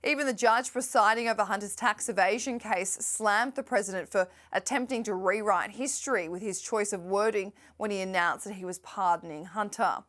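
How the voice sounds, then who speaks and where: A young adult woman presenting a scripted news roundup in a brisk, lightly sardonic manner. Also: mid-range voice, a bit bright.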